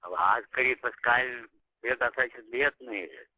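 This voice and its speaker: A man's voice: mid-range voice, normal speed, no echo. A man chatting casually and privately on a phone call. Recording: muffled tinny phone call recording.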